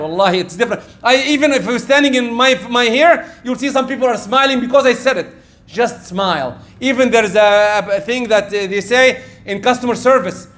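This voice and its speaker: High-voiced man preaching. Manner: animated, acts out quoted exchanges, rising loud when excited. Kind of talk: sermon.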